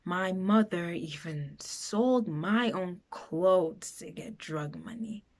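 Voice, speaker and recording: A woman playing a frightened, bitter foster girl in a dramatic confessional monologue, halting and emotional in her delivery. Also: lowish voice, darkish tone. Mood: hushed, bitter. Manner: halting, emotional, breaking into whispers and raised outbursts.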